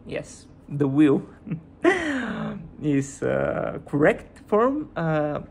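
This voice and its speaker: A low-pitched woman speaking English with a Bulgarian accent, not her first language. She talks to her viewers in a slow, unhurried vlog style and stops often to hunt for the right word. A faint hiss sits under her voice. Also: darkish tone. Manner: hesitant, searching for words. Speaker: YouTuber. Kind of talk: casual vlog commentary.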